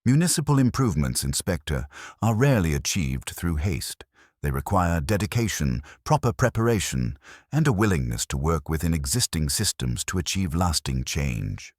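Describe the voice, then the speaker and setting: A man voicing a prim, exacting woman librarian with quiet authority, speaking formally and precisely in an audiobook mystery. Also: deep voice, sing-song, moderate pace, no room sound, clean studio sound, full character voice. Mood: quietly approving.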